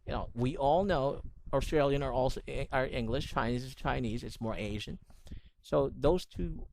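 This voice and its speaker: A man's voice: medium pitch, moderate pace, gravelly, a little mellow, slight background noise. A man teaching English in an online lesson, correcting pronunciation and praising his student often. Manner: encouraging, corrective, repeats praise.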